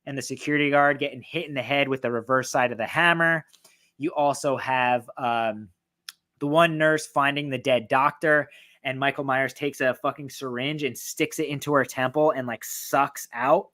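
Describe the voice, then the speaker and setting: A man with a voice going hoarse, excitedly and profanely ranking horror films in a rambling, casual way. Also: medium pitch, normal speed. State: losing his voice, hoarse. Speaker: horror film reviewer. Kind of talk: casual film ranking talk.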